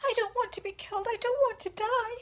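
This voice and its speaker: A woman voicing a frightened young male pig, wailing and pleading in a high, shrill storybook voice. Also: very animated, measured pace, bright, forceful tone, full character voice. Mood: terrified.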